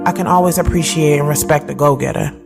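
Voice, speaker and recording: A woman acting as a reflective thirty-year-old woman narrates her own story in an audio drama. Her voice sits a little low, and she talks in a confiding, slangy, conversational way. Music plays clearly behind her. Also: quick pace. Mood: fond, reflective. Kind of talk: first-person scripted audio drama narration. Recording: loud music bed behind the voice.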